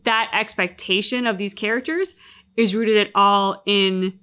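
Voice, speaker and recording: A woman's voice: medium pitch, steady tone, unhurried, sharp tone, clean tone. A woman co-hosting a reaction channel, casually discussing a video game and acting out bits of what characters say.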